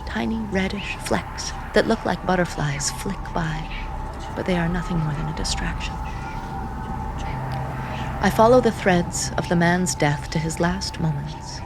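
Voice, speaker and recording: An actress playing a grim, haunted seer who reads the dead, narrating in the first person with hushed, deliberate intensity. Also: female voice, on the low side, gravelly, noisy background. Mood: hushed, intense. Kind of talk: first-person dramatic narration in audio drama.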